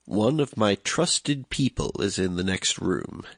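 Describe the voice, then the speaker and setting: An actor playing an aging, scrawny, deferential man in an audiobook, with a raspy, creaking voice and measured, courteous speech. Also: lowish voice, very animated, exaggerated voice. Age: older. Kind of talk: audiobook character acting.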